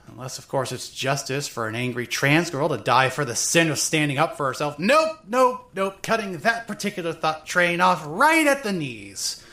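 Woman acting a tipsy, swearing young woman, very animated. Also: deep voice, normal speed. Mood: bitter, reckless. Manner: rambling, drunken hesitations, sarcastic swearing. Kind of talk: first-person dramatic narration of a fan audio drama.

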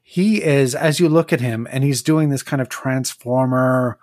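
Tabletop game narrator, game master, measured slow delivery, descriptive, thoughtful gaps, close dry sound. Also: mid-range voice, darkish tone, dry recording.

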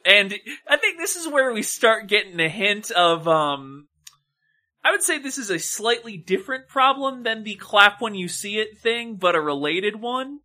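A podcast co-host chatting casually about films in an animated, opinionated way, with some swearing. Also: medium pitch, moderate pace. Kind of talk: casual film discussion chat.

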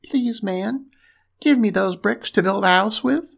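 Little pig for a fairy tale: high, squeaky, small and plucky, politely eager. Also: male voice, measured pace, vocal fry, full character voice. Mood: pleading. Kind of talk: storybook character voice.

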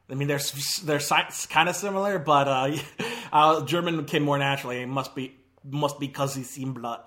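A man hosts a casual movie podcast. He rambles along, breaking off with fillers and restarting his sentences. His voice sits a little high. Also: fairly bright tone. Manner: rambling, with many fillers and self-corrections. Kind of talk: casual chat.

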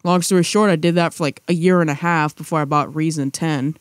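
A man's voice: a little high, fairly even intonation, fairly quick, clean tone, dry recording, studio quality. A young man chatting casually as a podcast co-host, rambling with restarts and fillers. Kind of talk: casual chat.

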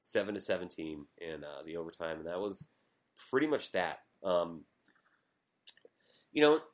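Low-voiced man rambling on a podcast. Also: expressive, moderate pace. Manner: rambling, self-deprecating commentary. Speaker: podcast co-host. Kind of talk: casual chat.

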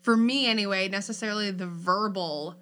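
A woman's voice: mid-range voice, fairly quick, a bit bright. A young adult woman co-hosting a podcast, talking in a casual, reflective way, searching for words as she thinks. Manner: thoughtful, searching, many fillers. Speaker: podcast co-host.

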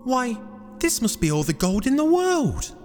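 Frantic, excitable fairy-tale lad played by a male narrator, pitched far up, cheeky and coarse. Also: takes it slowly, in a large hall, soft background music, heavily acted. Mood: amazed.

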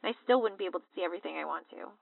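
A woman's voice: fairly low pitch, racing, vocal fry, dry recording. Casting: bubbly young American sister, chatty and girlish, dry close sound squeezed through a phone, for audio drama. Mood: excited, happy. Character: gushing young American woman travelling in London, chatty little sister. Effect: phone voicemail filter. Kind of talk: audio drama, casual voice message. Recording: tinny phone-like line.

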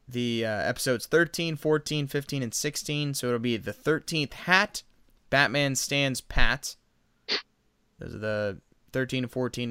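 Clear, smooth-voiced man hosting a podcast. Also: medium pitch, fairly bright tone, no echo, clean studio sound. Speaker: podcast host. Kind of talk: casual review chat.

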